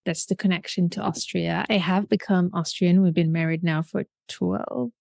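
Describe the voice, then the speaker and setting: A woman from Algeria telling her life story as a podcast guest, animated and laughing often. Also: lowish voice, moderate pace, vocal fry, no echo, clean studio sound. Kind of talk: casual interview chat.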